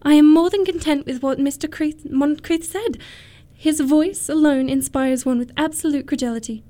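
A woman's voice: sits high, normal speed, mellow, close to an everyday voice. A woman playing a sweet, prim, romantic young society girl in a radio comedy of manners, speaking earnestly. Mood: earnest, smitten.

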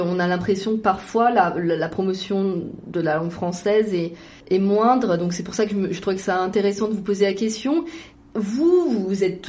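A woman's voice: medium pitch, warm tone. Hesitant woman interviewer from France. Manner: hesitant, many fillers. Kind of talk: round-table interview.

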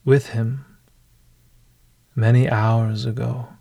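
A man narrating a scripted bedtime meditation as a podcast host, in a hushed, deliberate manner with long pauses. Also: mid-range voice, level tone, vocal fry, no echo.

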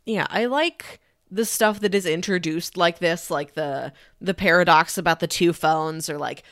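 A woman co-hosting a casual film discussion, retelling things in an animated way and acting out imagined lines. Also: on the low side, unhurried, no echo, clean studio sound. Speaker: podcast co-host discussing a film.

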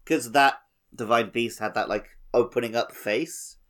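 A man's voice: mid-range voice, measured pace, a bit bright. A man co-hosting a podcast, chatting casually about a video game. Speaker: podcast co-host. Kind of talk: casual gaming chat.

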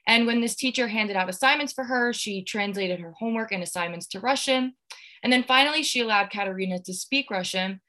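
A woman's voice: a little high, a little fast, bright, forceful tone. A young woman, an education student, sharing classroom experiences in an earnest, partly scripted podcast discussion. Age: young adult.

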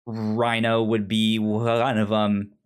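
A man's voice: fairly low pitch, monotone, takes it slowly, smooth. A man co-hosting a casual podcast discussion, stammering and repeating syllables as he works through his thoughts. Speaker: pop culture podcast co-host.

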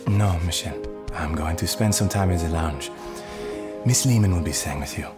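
An actor playing a troubled, tender father in an audio drama, speaking in a hesitant, halting way. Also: low voice, over music, close to an everyday voice. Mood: gentle. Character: troubled, tender father emigrating with his young children.

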